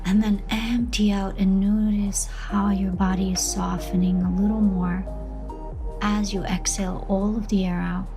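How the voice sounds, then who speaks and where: A woman leading a guided meditation in a gentle, unhurried manner, pausing between short soothing phrases of instruction. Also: mid-range voice, level tone. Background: background music.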